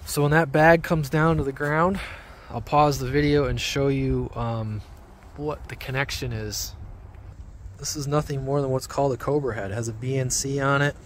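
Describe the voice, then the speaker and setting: A man giving a casual, off-the-cuff how-to explanation outdoors in an informal, rambling, halting way. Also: mid-range voice, steady tone. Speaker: hobby radio vlogger. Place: outdoors among trees. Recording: outdoor handheld video with background noise.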